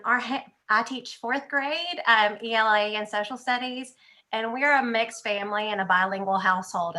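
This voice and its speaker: A woman fourth grade teacher introducing herself sincerely and calmly on a panel. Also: mid-range voice.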